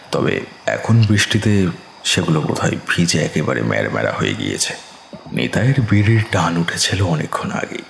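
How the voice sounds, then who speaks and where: A man narrating a scripted ghost story in a slow, suspenseful manner. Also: deep voice, gravelly, roomy sound, slight background noise.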